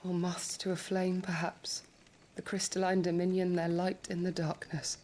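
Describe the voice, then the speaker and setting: Low-voiced, composed loyal attendant played by a woman. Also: monotone, normal speed, mellow, slight background noise, natural voice. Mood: somber musing. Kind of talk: video game cutscene acting. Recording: faint outdoor ambience with insects.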